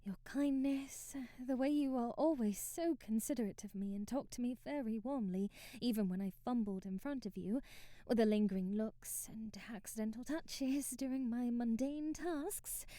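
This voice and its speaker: Woman acting as devoted young housemaid, flustered and earnest, mellow highish voice, close dry ASMR, breathy half-whisper. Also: normal speed, dry recording, lightly acted. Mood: tender, stunned. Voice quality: soft, breathy, often dropping to a whisper. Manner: hesitant, earnest, genteel old-fashioned phrasing. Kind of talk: ASMR romantic audio roleplay, period drama. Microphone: close mic, dry.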